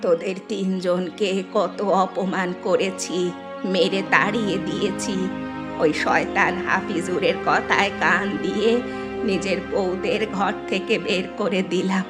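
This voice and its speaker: A woman voicing an elderly village mother-in-law in a cartoon, weary and humbled, with a slow, plaintive, confessional way of talking. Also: medium pitch, fairly even intonation, over loud music, close to an everyday voice. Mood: remorseful. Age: older. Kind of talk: Bengali moral cartoon dialogue.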